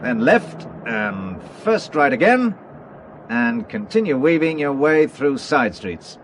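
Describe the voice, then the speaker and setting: A man's voice: on the high side, sing-song, takes it slowly, slight background noise. An actor playing a wry, secretive middle-aged businessman in a radio drama, dryly evasive and making light of things. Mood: brisk, absorbed.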